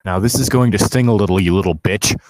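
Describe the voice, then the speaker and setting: A man's voice: on the low side, quick pace, darkish tone, no echo, voice pushed down, close to an everyday voice. A man voicing a threatening, sweary fantasy heroine in a comic story reading. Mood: mock menace. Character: menacing, foul-mouthed heroine tending wounds.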